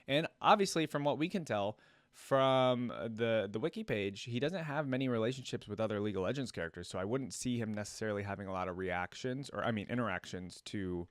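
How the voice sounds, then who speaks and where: A man reacting casually to game voicelines, talking like a relaxed video host. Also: fairly low pitch, brisk, dry recording.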